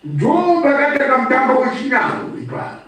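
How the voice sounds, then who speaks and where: A man's voice: very high-pitched, sing-song, normal speed, fairly bright tone. A man with a Zimbabwean accent giving an impromptu political address, speaking emphatically like a storyteller and repeating phrases for effect. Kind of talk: impromptu political address, mixing two languages. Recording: very echoey room, distant microphone.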